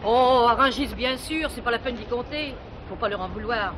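A woman's voice: a little high, moderate pace, close to an everyday voice. A woman playing an earthy, motherly, middle-aged market worker with a blunt, slangy manner, in a French radio drama. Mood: coaxing. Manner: familiar, slangy, maternal. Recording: noisy market hall with crowd and vehicles.

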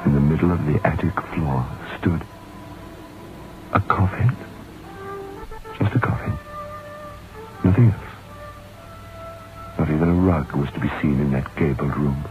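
An actor playing an anxious, haunted novelist in an old-time radio drama, speaking gravely and deliberately. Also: male voice, a bass voice, over music. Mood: grave, brooding. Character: haunted, anxious male novelist narrating his ghost story. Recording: muffled, noisy old radio recording.

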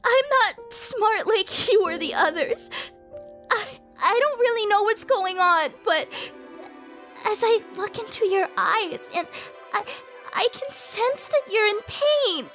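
A very high, slow-spoken anime girl played by a woman, gentle and earnest. She pleads haltingly and with care, over a music bed. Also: animated, heavily acted. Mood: tearful pleading. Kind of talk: animated visual novel acting. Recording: music behind the voice.

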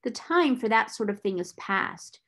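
A woman voicing a stern, domineering middle-aged schoolmistress with a sharp, scolding, imperious manner in a storybook reading. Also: a little high, animated, moderate pace. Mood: scornful, domineering.

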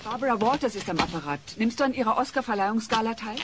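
Brisk, quick-talking woman played by an actress. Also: on the high side, expressive, noisy background, lightly acted. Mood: dutiful. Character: brisk woman assisting a demanding star.

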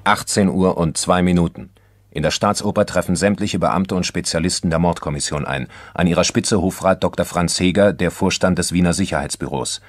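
Documentary crime narrator for radio drama, bass-deep, measured and sober, very dry sound. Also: male voice, no echo, faint music behind. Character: sober chronicler of a police case.

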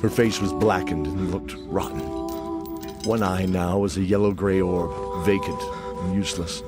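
A man's voice: low-pitched, steady tone, unhurried, loud music behind the voice. A man narrating a scripted fantasy audio drama.

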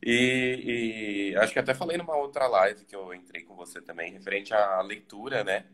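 A young man from Brazil, a former student, chatting on a video call in a relaxed, halting way with many fillers. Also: on the low side. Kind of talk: casual video call chat. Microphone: webcam on a video call.

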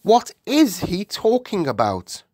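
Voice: medium pitch, huge pitch swings, takes it slowly, a little mellow, no echo, clean studio sound, pitched above the performer's own voice, heavily acted. A storybook reader voicing a gracious, self-composed queen with a polite, formal manner. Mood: puzzled.

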